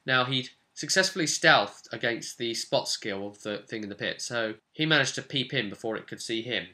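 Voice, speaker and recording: A man's voice: medium pitch, expressive, normal speed, sharp tone. A man recounting a tabletop role-playing session as its game master, in an informal storytelling way with brief acted-out quotes. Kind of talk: informal spoken recap, storytelling.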